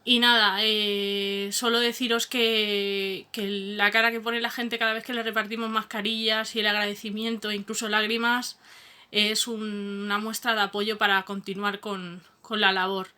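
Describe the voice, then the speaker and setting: A woman with a Spanish accent from Spain records a personal thank-you message. Her voice is bright and forward, with a fairly even melody. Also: mid-range voice, moderate pace, smooth. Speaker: volunteer mask distributor.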